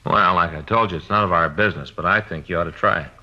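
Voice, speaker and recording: A man's voice: low voice, fast talker. An actor in a 1950s radio drama playing a chatty, cocksure middle-aged American detective, folksy and insistent with his advice. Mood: insistent, encouraging. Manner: folksy, insistent, know-it-all. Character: cocksure, chatty married male police detective giving advice. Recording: dull, band-limited old radio recording.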